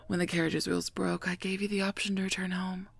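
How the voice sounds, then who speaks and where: A woman voicing a sardonic female werewolf knight and mercenary bodyguard in an ASMR roleplay, husky and gravelly, dry and curt. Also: sits low, flat delivery, unhurried, full character voice. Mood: irritated, sarcastic. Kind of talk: scripted ASMR roleplay, addressed to listener.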